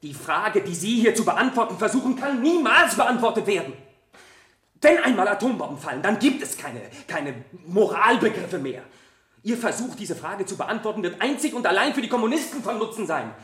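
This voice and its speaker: An actor playing a scornful, fanatical witness who lectures the court with heated contempt in a radio play. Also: male voice, high-pitched, big pitch swings, moderate pace, fairly bright tone. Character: scornful, fanatical political witness, contemptuous of everyone around him. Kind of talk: 1970 German radio play acting. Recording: roomy, echoing studio courtroom.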